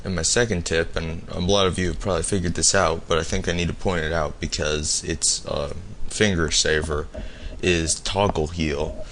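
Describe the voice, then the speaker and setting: A slightly hoarse man recording a prepared audio message with tips for a show. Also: low-pitched, fairly even intonation, moderate pace, a bit bright. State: losing his voice, slightly hoarse. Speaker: listener sending in a tip. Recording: homemade MP3 with faint noise.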